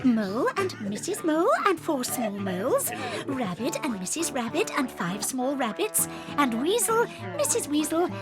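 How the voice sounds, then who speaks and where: A woman's voice: sits high, very animated, normal speed, few pauses, music in the background. A woman playing a proud, loving vixen who announces things with emphasis in a dramatized children's audiobook. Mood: delighted.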